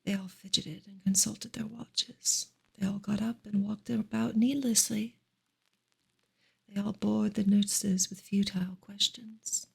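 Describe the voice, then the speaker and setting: Breathy whispering woman, soft ASMR reader. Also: mid-range voice, steady tone. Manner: soft, unhurried, hushed. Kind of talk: whispered ASMR book reading.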